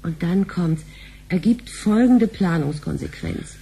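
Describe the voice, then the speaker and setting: Sharp-tongued, suspicious sci-fi wife. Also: female voice, lowish voice, lively intonation, creaky, slightly rough, heavily acted, rough recording. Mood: impatient. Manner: brisk, sardonic. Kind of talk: radio drama acting.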